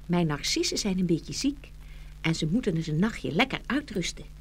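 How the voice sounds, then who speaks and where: A woman's voice: on the low side, very animated, moderate pace, slight background noise. A woman playing an eager, caring little girl with a childlike manner in a children's fairy-tale audio play. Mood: bossy, caring. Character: lively, caring little girl, curious and bossy.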